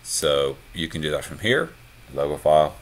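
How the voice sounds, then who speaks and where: Very deep-voiced man talking through a screencast. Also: normal speed. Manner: relaxed, conversational, many fillers. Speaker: theme tutorial presenter. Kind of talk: screencast walkthrough.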